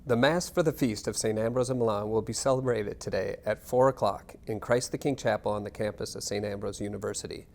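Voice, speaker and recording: A man giving a scripted reading of narration and historical text in a measured, formal manner. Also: fairly low pitch, darkish tone. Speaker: reader.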